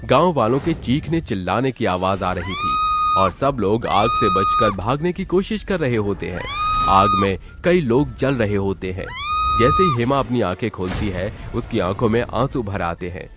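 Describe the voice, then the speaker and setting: A male narrator tells a scripted horror story. His voice sits low, and music plays clearly behind him. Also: expressive, moderate pace.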